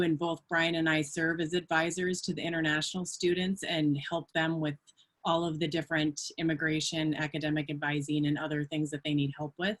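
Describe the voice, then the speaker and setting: A woman with a low-pitched voice speaks as an associate director who advises students. She explains office services in an informal presentation style. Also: fairly bright tone.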